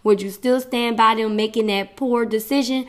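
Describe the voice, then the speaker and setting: A woman's voice: fairly high pitch, fairly even intonation, normal speed. A woman hosting her own podcast, talking in a chatty, rambling way with frequent laughs while she is losing her voice. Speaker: podcast host. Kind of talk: casual solo podcast talk.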